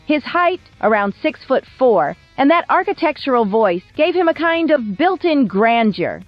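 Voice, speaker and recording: A woman narrating a scripted biographical documentary in a measured, polished storytelling manner with wry touches. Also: on the high side, dry recording, lo-fi sound. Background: background music.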